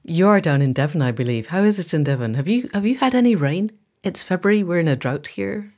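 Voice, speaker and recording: A woman hosting a podcast, speaking in a measured, earnest and articulate way, as in an introduction or an interview. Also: on the low side, expressive, darkish tone. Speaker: podcast host. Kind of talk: scripted podcast introduction, then conversational interviewing.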